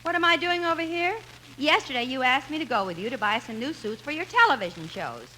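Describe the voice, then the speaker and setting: A woman's voice: sits high, animated, brisk, bright, forceful tone. An actress playing a sardonic, bossy lady friend in a 1950s radio comedy, with dry, teasing delivery. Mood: brisk, bossy. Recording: noisy old radio recording.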